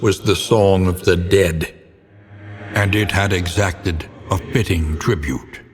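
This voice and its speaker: Very deep, brooding gothic narrator. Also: male voice, fairly even intonation, big-room sound, slight background noise. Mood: darkly dramatic. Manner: solemn, ominous, deliberate, ornate delivery. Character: grave, brooding older male narrator of gothic horror tales. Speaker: game narrator. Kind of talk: theatrical scripted gothic storytelling.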